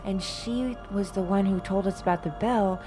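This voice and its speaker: A woman's voice: medium pitch, moderate pace. A woman discussing choices casually and in character as a player in a tabletop role-playing game. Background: music.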